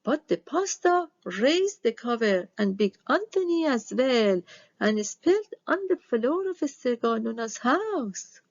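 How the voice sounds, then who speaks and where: A woman reading a children's storybook aloud in expressive narration. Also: a little high, vocal fry, dry recording, dull audio. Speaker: storybook reader.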